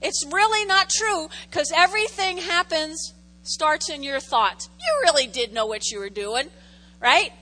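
A woman's voice: sits high, lively intonation, a little slow, sharp tone. A woman pastor preaching a sermon in a conversational way, repeating key phrases for emphasis and making jokey asides to the congregation.

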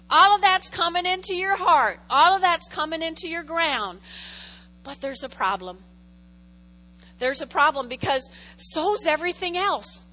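A woman's voice: sits high, sharp tone. A woman pastor preaching a sermon in a conversational way, repeating key phrases for emphasis and making jokey asides to the congregation.

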